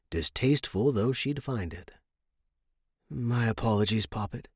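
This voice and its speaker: A man narrating as a story podcast host, with a measured, articulate delivery. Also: on the low side, a little mellow, slightly rough, no echo, clean studio sound. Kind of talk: scripted fiction narration and podcast outro.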